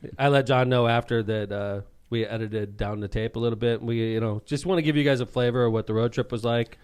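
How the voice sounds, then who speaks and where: A man hosting a podcast, telling stories in casual studio banter, with an animated, joking delivery. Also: medium pitch, quick pace, clear, resonant tone. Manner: joking storyteller, animated when quoting others. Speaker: podcast host.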